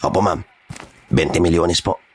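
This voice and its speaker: A man playing a gruff, blunt kidnapper boss in a horror audio drama. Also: low voice, steady tone, moderate pace, slight background noise. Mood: deferential. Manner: rough, street-tough, blunt. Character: gruff, menacing male kidnapper gang boss.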